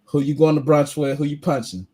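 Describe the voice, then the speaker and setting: Man, podcast co-host, rambling, casual, halting phrases. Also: fairly high pitch, dark tone, breathy edge. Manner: rambling, many fillers and restarts. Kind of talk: casual chat.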